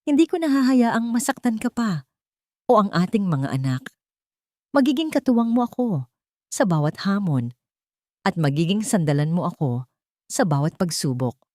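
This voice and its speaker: A woman voicing a loving, devoted bride who speaks in earnest, measured phrases in a radio drama story. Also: mid-range voice, sing-song, darkish tone, dry recording, clean studio sound, lightly acted. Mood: earnest, emotional.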